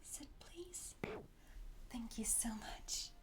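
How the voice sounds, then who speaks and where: A woman whispering softly and breathily in a gentle, encouraging ASMR roleplay as a pet groomer. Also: fairly high pitch, expressive, very slow.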